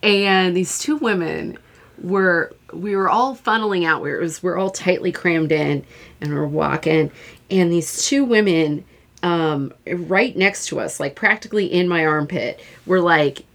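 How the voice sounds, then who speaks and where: A woman co-hosting a podcast in casual chat, telling stories in an animated way, acting out quotes and laughing often. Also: lowish voice, unhurried, creaky. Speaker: podcast co-host.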